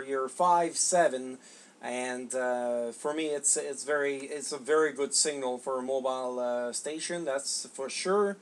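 A middle-aged man from Canada talking as an amateur radio operator in a halting, polite way. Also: a little high. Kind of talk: unscripted ham radio exchange. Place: small home radio room.

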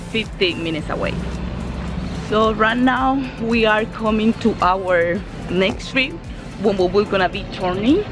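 A woman, chatting and correcting herself as she films a walking tour on a busy street, phone held at arm's length. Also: medium pitch. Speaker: travel vlogger. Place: busy street outdoors beside traffic. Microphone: phone camera at arm's length. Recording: loud traffic noise and music behind. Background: passing cars and music.

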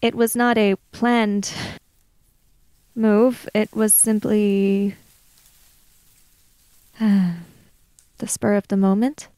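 A woman improvising in-character dialogue in a tabletop roleplaying game, in measured, formal phrases with pauses. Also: medium pitch, flat delivery, warm tone, smooth, dry recording.

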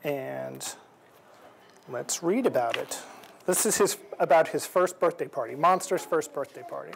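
A man in his forties reading a picture book aloud to children in an expressive, measured storytelling way. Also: on the high side. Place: indoors, library event room. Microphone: lapel. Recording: clear background noise in room.

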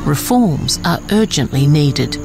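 A woman reading scripted documentary narration in a measured, articulate way. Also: low voice, expressive, vocal fry. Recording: studio voice-over with music.

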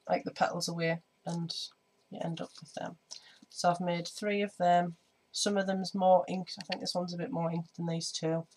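Slightly croaky woman, flat delivery. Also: on the low side, low-quality recording. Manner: chatty, many ums, hands busy while talking. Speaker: scrapbooking vlogger. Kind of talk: casual crafting tutorial. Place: indoor home craft table. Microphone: overhead camera on desk, speaker off screen.

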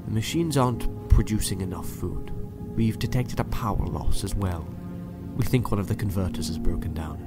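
Casting: male actor for condescending doctor in charge, dystopian audio drama; low, measured, quietly threatening, prominent music underneath. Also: natural voice. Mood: curt, menacing. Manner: condescending, measured, at times hushed.

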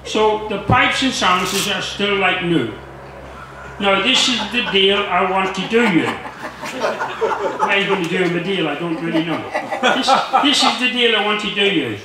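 A jovial man in his sixties reading aloud haltingly to an audience, with humorous asides. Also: high-pitched, noisy background. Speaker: guest reader. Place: large marquee tent with seated audience. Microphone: handheld mic through speakers, filmed from audience. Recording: very echoey PA in tent.

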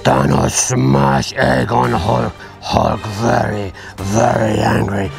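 A man's voice: mid-range voice, slow pace, music in the background. A man voicing a huge, angry, simple-minded brute who roars and talks in broken grammar. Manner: broken grammar, gruff roaring. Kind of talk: toy play voice acting.

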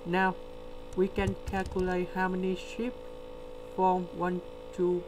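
A man with a delivery, explaining a word problem step by step in a screen-recorded lesson. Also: sits high. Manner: slow, step-by-step explaining with pauses. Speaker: tutor. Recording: steady electrical hum behind.

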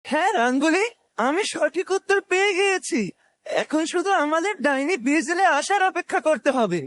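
A man plays a young fairytale cartoon hero with an extremely high voice. He sounds earnest and thoughtful, explaining things deliberately. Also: animated, fairly bright tone, dry recording. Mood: reassuring. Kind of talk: cartoon voice acting.

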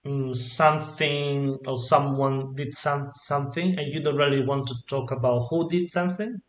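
A man's voice: mid-range voice, fairly even intonation, moderate pace, echoey room. A man from Spain whose first language is Spanish, tutoring a student online in English with patient explanations and examples. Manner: explains patiently, gives examples, checks understanding.

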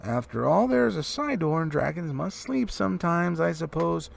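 A man's voice: a little high, lively intonation, normal speed, vocal fry, voice pushed up, full character voice. A man voicing a flustered, polite little male hobbit from a storybook, hesitant yet eager and rather prim. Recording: faint background noise.

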